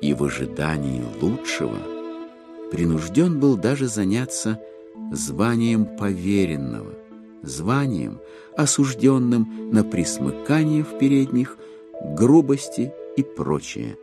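A male narrator tells a literary story in a lowish, gravelly voice. He is theatrical and ironic, taking his time, with faint music underneath. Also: big pitch swings, slow pace. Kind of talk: dramatized literary audiobook narration.

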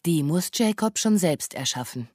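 A woman's voice: on the low side, expressive, normal speed, darkish tone, husky, no room sound, clean studio sound. A woman narrating a literary radio drama in scripted, descriptive prose. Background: music.

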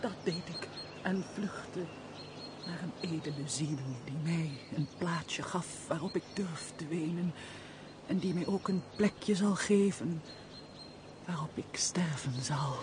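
An actress playing a remorseful young runaway wife in a radio drama, speaking in a solemn, tearful, melodramatic way. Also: female voice, lowish voice, slow pace, background noise. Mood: sorrowful, bitter. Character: remorseful young runaway wife, grief-stricken and self-punishing. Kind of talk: theatrical radio drama acting.

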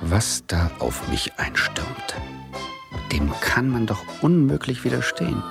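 An actor playing a gullible, easily dazzled would-be speculator, wry and musing, narrating and talking in a 1970s German radio play. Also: male voice, mid-range voice, sing-song, a little slow, creaky, music in the background. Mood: eager, dazzled.

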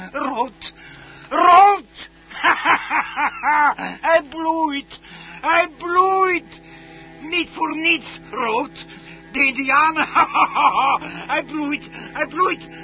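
An actor playing a deranged, murderous husband obsessed with his plant in a radio drama, halting and repeating himself. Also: very high voice, pushed and bright, faint music behind, exaggerated voice. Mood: ecstatic mania. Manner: halting, muttering, repeating words, manic laughter. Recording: dull, noisy old radio recording.

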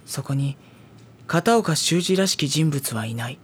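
An actor playing a reserved high school boy in an audio drama, narrating and talking in a polite, dry, exasperated manner. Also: animated, unhurried, breathy edge, full character voice. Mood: pensive, uneasy.

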